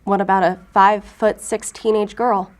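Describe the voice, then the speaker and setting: A woman's voice: medium pitch, flat delivery, moderate pace, lightly acted. An actress playing a weary, guarded female federal agent in an audio drama, speaking in a curt, controlled way. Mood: businesslike.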